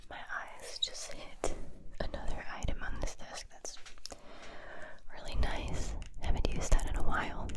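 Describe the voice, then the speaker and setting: A woman whispering close to the mic for ASMR, congested from a cold, with soft trailing phrases. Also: unhurried. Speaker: ASMR creator. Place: home room with string lights. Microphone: close binaural mic.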